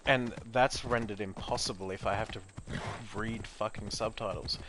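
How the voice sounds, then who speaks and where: Low-voiced man ranting over gameplay. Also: steady tone, moderate pace, background noise. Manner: ranting, profane, opinionated. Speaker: gaming commentator. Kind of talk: casual gameplay commentary. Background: loud game music.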